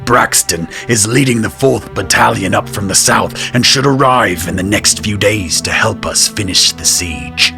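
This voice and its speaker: Cocky army lieutenant, low gravelly voice, slow showman talk, music behind. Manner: slow, deliberate, conspiratorial showman. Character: swaggering military lieutenant, showman enjoying the crowd's attention. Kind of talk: audio drama acting.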